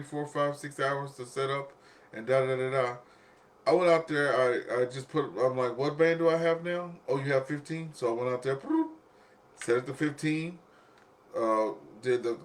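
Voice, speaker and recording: A man hosting an amateur radio net in casual chat, telling stories and acting out exchanges as he goes. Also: medium pitch.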